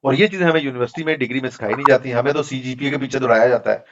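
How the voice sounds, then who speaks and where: A man's voice: mid-range voice, racing, highs cut off, noisy background. A man teaching an online class, answering students' questions in a frank, explanatory, conversational way over a video call. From Pakistan. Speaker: instructor.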